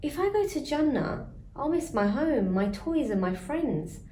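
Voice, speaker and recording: A dreamy, excitable small girl, played by a woman reader in a storybook. She speaks with wide-eyed eagerness in an echoey room with faint noise. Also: high voice, measured pace, pitched above the performer's own voice. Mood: sad, worried. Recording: roomy echo, faint background noise.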